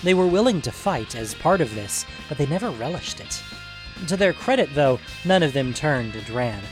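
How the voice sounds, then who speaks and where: A man narrating a scripted fantasy story in a measured, suspenseful, dramatic audiobook manner. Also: fairly high pitch, very animated, over music, poor audio.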